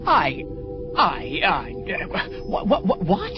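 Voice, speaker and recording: Stammering, highish, flustered male angel played by an actor. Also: wildly swooping pitch, exaggerated voice. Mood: flustered, defensive. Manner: stammering, halting. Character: nervous, flustered angel official, defensive and evasive. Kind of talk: audio drama acting. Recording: muffled lo-fi audio drama with music. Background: prominent music.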